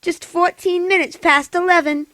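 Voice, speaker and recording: A woman's voice: very high voice, fairly even intonation, moderate pace, fairly bright tone, dry recording. An actress playing a polite, officious hospital switchboard clerk in a radio thriller, formal and detached. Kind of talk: old-time radio thriller acting.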